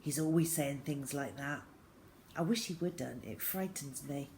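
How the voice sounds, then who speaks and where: A woman reading a children's novel aloud as a first-person diary, with expressive, deliberate phrasing. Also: sits low, slight background noise, rough recording.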